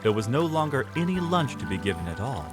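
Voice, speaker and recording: A man's voice: mid-range voice, sing-song, fast, music in the background. A man narrating an audio drama in a dramatic, scripted storytelling style.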